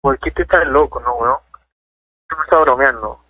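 A man's voice: medium pitch, normal speed. A young man with a Peruvian accent on a phone call, bluntly questioning the caller in street slang. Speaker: prank call target.

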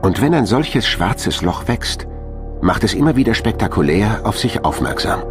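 A man's voice: on the low side, sing-song, moderate pace. A man reading scripted documentary narration. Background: music.

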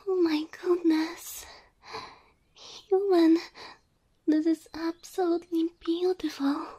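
A woman playing a gentle, affectionate cow girl girlfriend in an ASMR roleplay, breathy and often whispering, tender and full of wonder. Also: extremely high pitch, fairly even intonation, very slow, long pauses, exaggerated voice. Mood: amazed, delighted. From Slavic country.

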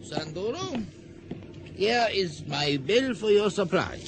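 Shrewd suspicious trader, radio drama, high-pitched, slow, needling questions, grasping. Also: male voice, sing-song, background noise, heavily acted. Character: suspicious, grasping male island supply trader.

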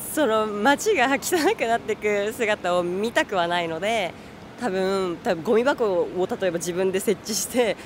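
A young woman speaks at a middle pitch into a handheld mic, answering thoughtfully with small pauses and fillers. Clear city street noise runs behind her. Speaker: interviewee. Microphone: handheld mic held by interviewer.

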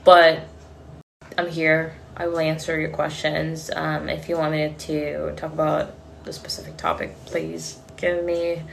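A young woman from Ukraine talks into a phone held at arm's length. Her voice sits low and flat as she speaks in an earnest, shaken way, pausing and restarting often. The room echoes around her, and a faint hiss runs underneath. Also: creaky. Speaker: vlogger. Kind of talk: selfie video diary. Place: small room indoors, street outside for some clips.

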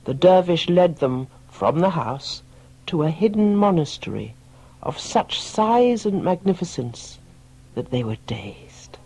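A storyteller reading a tale as an audiobook, in a soft, breathy voice with measured delivery and dramatic pauses. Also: mid-range voice, rough recording. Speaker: storyteller narrator. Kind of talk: scripted audiobook story narration.

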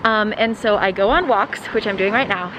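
A woman's voice: medium pitch, normal speed. Chatty young woman vlogging on a noisy street. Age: young adult. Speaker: vlogger and host. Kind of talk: casual chatty vlog talk to camera. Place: outdoors on windy city streets. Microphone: handheld camera at arm's length. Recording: wind and street traffic noise.

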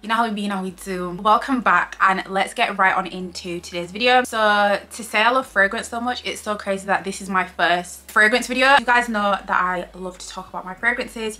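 A woman's voice: mid-range voice, pushed and bright. A young woman from Leeds with a Yorkshire accent, chatting casually to camera as a beauty vlogger in her bedroom. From Leeds, England. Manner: bubbly, talks with her hands. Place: bedroom, indoors.

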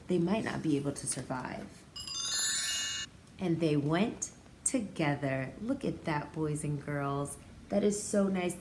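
A woman's voice: lowish voice, mellow. An American woman, a pre-kindergarten teacher, reading a story aloud to young children and explaining it expressively in simple words. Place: indoors, small room with hard walls. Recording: roomy echo, faint background noise.

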